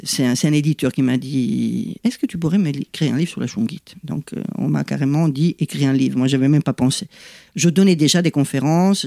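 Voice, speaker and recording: A middle-aged woman from Italy, speaking French with an Italian accent as her second language, explaining things in a lively interview with many asides and restarts. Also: rumbling low, creaky, dry recording, studio quality. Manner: animated and explanatory, frequent "tu vois" asides and restarts. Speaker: guest, lithotherapist.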